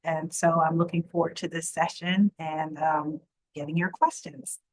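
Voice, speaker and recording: A woman's voice: low voice, little pitch movement, moderate pace, low-quality recording. A woman staff director giving a brief self-introduction as a panelist on a video call. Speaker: academic assistance director, panelist.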